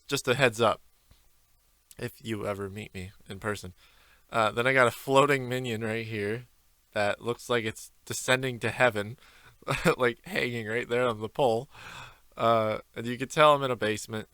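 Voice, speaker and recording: A man's voice: fairly low pitch, pushed and bright, dry recording. A young man hosting a solo podcast from his basement, chatting freely and rambling with lots of fillers. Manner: rambling, freestyle with many fillers. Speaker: podcast host. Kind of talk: casual solo podcast chat. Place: home basement with concrete walls. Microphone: desk microphone on camera.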